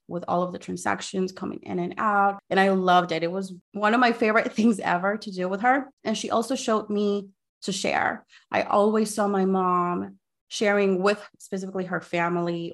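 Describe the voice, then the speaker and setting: A woman from Ecuador, a podcast guest, telling her story in a quick, enthusiastic way with frequent "like". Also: medium pitch. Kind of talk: conversational interview.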